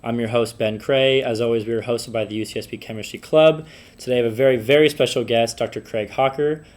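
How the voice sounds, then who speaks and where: A man's voice: medium pitch, steady tone, fast. A young man from the San Francisco Bay Area hosting a podcast, chatty and eager, with lots of fillers. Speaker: podcast host. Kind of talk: casual interview chat.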